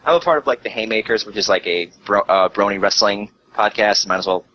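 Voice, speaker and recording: A man's voice: fairly low pitch, a little fast, fairly bright tone, lo-fi sound. A man telling casual, rambling stories as a podcast guest, swearing freely and peppering his speech with filler words. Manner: profane, rambling, uses the word like heavily.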